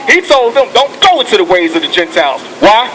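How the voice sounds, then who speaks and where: Fiery street preacher, high voice, loud traffic. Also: male voice, big pitch swings, brisk, poor audio. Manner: fiery, profane, calls and responses with "okay" and "come on". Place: outdoors on a city street. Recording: loud street noise outdoors.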